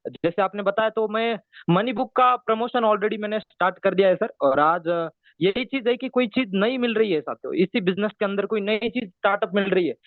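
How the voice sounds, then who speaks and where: A man's voice: sits high, fairly quick, no room sound. A man speaking on a video call, enthusiastic and repetitive, who keeps addressing his listeners as friends, heard over tinny phone-line audio. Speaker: team leader.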